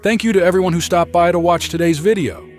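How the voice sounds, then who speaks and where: A man reads a scripted product tutorial in a clear, instructional manner, explaining each step plainly. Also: sits high, quick pace, soft background music. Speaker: product demonstrator and narrator.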